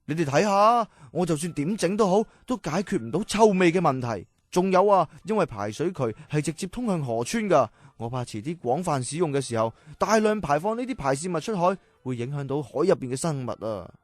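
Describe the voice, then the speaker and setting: Man acting an earnest, high-voiced flustered inventor. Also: sing-song, a little fast, few pauses, no echo. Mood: eager, worried. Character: earnest, excitable, easily flustered historical inventor gentleman, John Harington. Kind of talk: children's audio drama acting.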